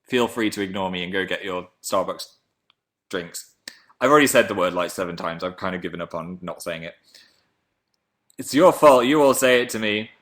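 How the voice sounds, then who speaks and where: Deep-voiced english guy in his 20s doing a webcam livestream, playful, swings his pitch up and down. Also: expressive, normal speed, pauses often, a bit bright. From England. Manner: playful, jumps between high and low pitch. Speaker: livestream host. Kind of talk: casual live chat reading comments.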